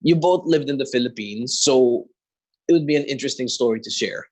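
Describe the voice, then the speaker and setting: A man's voice: medium pitch, a little mellow. Earnest male host of a panel. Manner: earnest, measured, asks long questions. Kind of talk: live online panel discussion.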